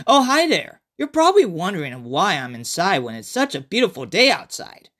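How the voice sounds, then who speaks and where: Man reading as high-pitched emphatic horror storyteller. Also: huge pitch swings, moderate pace, a bit bright, exaggerated voice. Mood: animated, foreboding. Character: chatty survivor narrator recounting an outbreak horror tale. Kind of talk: dramatic first-person story reading.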